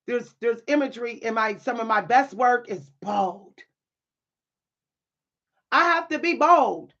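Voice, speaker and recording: A woman with a deep, at times loud voice, speaking in a bold, sassy way with dry humor and repeating phrases for emphasis in a motivational monologue. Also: expressive, measured pace, muffled recording. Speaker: spiritual content creator and writer.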